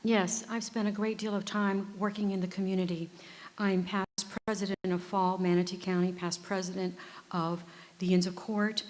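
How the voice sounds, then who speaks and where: A woman in her fifties, a judicial candidate, speaking earnestly and in a measured way at a public forum. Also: on the low side, a little mellow. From United States. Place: performing arts center stage. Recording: reverberant auditorium.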